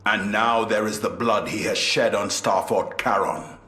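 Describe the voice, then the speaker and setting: Low, grave warrior counsellor. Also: male voice, steady tone, measured pace, bright, forceful tone. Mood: concerned, probing. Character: grave, questioning male warrior comrade and counsellor. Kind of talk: video game cutscene acting. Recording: echoey, faint music behind.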